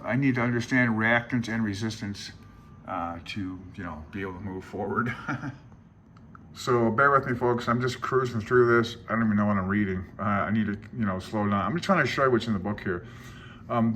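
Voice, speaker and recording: A man talking casually through a technical handbook as a hobbyist vlogger, folksy, self-deprecating and halting, sometimes reading aloud. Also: mid-range voice, fairly bright tone, a little hiss or hum. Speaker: amateur radio hobbyist vlogger.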